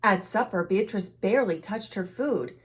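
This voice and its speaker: A woman reading a children's picture book aloud in an expressive, animated way, acting out the dialogue for young listeners. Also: mid-range voice, moderate pace. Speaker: children's storytime host and reader.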